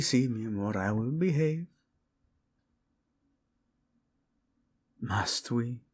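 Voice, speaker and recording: A man's voice: medium pitch, breathy edge. A man playing a devoted, hot-tempered yet tender king, speaking intimately and teasingly in a romantic audio roleplay. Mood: playful, tender. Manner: soft, intimate, teasing, halting.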